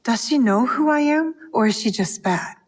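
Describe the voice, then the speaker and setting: A woman's voice: fairly high pitch, expressive, moderate pace, long reverb tail. A woman playing a guarded, determined young female shinobi bent on revenge in a video game, speaking in a serious, terse way. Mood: brooding, suspicious. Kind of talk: video game cutscene acting.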